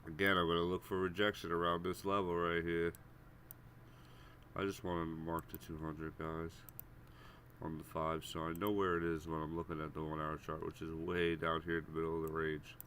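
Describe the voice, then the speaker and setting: Stuffy low-voiced man calling stock levels. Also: monotone, normal speed, a bit bright, a little hiss or hum. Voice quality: congested, nasal. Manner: casual, repetitive, addresses viewers as guys. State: stuffy head cold. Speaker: stock trading streamer. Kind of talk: live premarket chart walkthrough.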